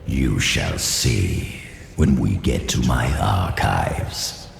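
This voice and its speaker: An actor playing the haughty ghost of an ancient male sorcerer in a video game, gravelly and sneering. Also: extremely low pitch, expressive, very slow, roomy sound, over music, full character voice. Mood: sardonic.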